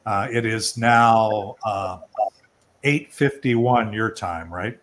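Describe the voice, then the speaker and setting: A man's voice: on the low side, slow pace, a bit bright. An older man hosting an online networking event, talking informally and rambling a little as he presents and takes questions. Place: online video call.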